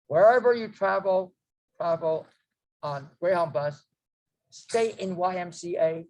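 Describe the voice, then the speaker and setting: A man, telling his life story to a group, rambling and halting with many fillers and restarts. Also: high voice, darkish tone, rough recording. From China. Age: 90s. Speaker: guest speaker. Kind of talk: storytelling memoir.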